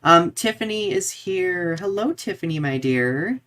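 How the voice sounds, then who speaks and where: Podcast co-host with a mid-pitched voice. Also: animated, unhurried, fairly bright tone. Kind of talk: live podcast discussion of current events.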